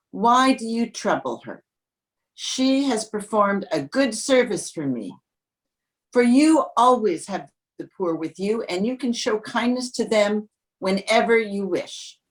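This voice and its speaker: Very slow-paced woman reading scripture. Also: medium pitch. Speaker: church member reading scripture.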